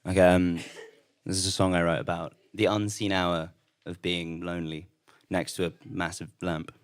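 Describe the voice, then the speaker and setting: A man's voice: sits low, little pitch movement, normal speed, smooth. A man speaking casually and a little hesitantly as a musician introducing his own song. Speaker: musical guest, singer-songwriter. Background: plucked guitar.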